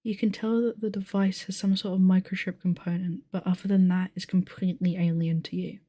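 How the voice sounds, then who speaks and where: A woman playing a calm game master who narrates a sci-fi tabletop adventure in measured, descriptive speech. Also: lowish voice, no echo, clean studio sound, lightly acted. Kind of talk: scripted narration, ASMR audio roleplay.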